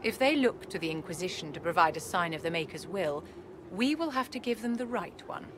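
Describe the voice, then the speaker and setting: An elegant, imperious court sorceress from a fantasy game, acted by a woman. Her voice sits fairly low and she speaks with poise and condescension, every phrase measured and formal. Clear ambient noise runs behind her. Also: lively intonation, close to an everyday voice. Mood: poised, calculating. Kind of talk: video game cutscene acting.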